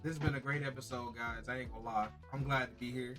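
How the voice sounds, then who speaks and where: A man's voice: mid-range voice, fairly even intonation, quick pace, music in the background. A man hosting a wrestling podcast, slangy and hyped, who keeps saying "I ain't gonna lie". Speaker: podcast host. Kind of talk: casual podcast sign-off chat.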